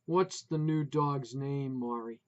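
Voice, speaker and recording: A reader doing a harried husband yelling on the phone. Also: mid-range voice, slow pace, highs cut off, lightly acted. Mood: weary. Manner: raised, strained yelling.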